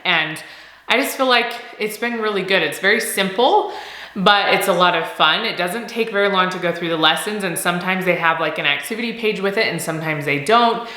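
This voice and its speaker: A woman in her thirties, a homeschooling mom, chatting casually in a vlog, slightly nasal from a cold, upbeat and quick to laugh. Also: fairly low pitch, pushed and bright, echoey room. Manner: upbeat, laughs often, repeats words for emphasis.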